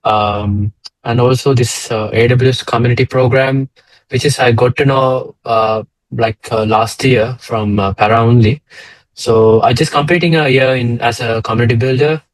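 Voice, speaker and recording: Young man, from Sri Lanka, video call, dry sound, middle pitch, hesitant. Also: fairly even intonation, moderate pace, no room sound, poor audio. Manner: hesitant, many fillers and restarts. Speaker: co-host, data engineer. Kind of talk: casual presentation chat.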